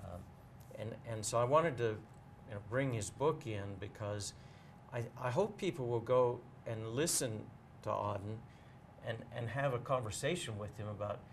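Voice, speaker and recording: A man in a casual interview, talking as a bookseller guest in a measured, explanatory way and pausing to choose his words. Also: medium pitch, a little hiss or hum.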